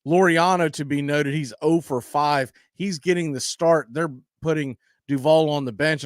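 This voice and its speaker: A man's voice: fairly high pitch, a bit bright, no echo, clean studio sound. A man hosting a sports stream, giving conversational, folksy baseball pregame commentary. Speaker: sports radio host.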